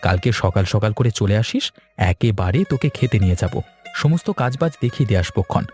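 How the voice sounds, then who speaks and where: A man's voice: lowish voice, expressive, quick pace, a little mellow, soft background music, close to an everyday voice. A man playing a busy, kindly village farmer in a cartoon, explaining things in an avuncular way. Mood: advising. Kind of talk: cartoon dialogue acting.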